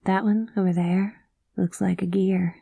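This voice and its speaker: A woman's voice: mid-range voice, little pitch movement, measured pace, gravelly, dry recording, clean studio sound. Woman playing a folksy space cowgirl pilot. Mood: easygoing. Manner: folksy drawling cowboy talk, calls listener partner; gentle and soothing. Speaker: ASMR roleplay performer. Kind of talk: ASMR roleplay.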